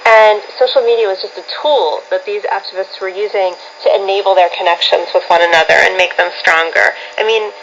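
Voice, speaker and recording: A muffled, noisy shortwave signal carries a woman with a mid-pitched voice. She speaks as an interviewed commentator, unscripted, articulate and earnest. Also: steady tone, a little fast, hardly any pauses, fairly bright tone.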